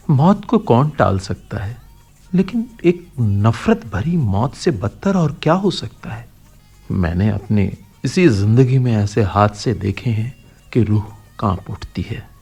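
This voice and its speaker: An actor playing a kindly, earnest older man in a radio play, gentle and fatherly in manner. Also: lowish voice, animated, slow, creaky, breathy edge, soft background music, heavily acted. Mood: earnest, impassioned. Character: kindly, earnest elderly postman uncle. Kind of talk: Hindi radio play acting.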